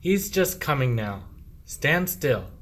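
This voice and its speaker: A man playing a downtrodden, bitter shepherd in a radio play, declaiming verse in measured phrases. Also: mid-range voice, big pitch swings, fairly bright tone, full character voice. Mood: gruff impatience. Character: downtrodden male shepherd, bitter at rich oppressors.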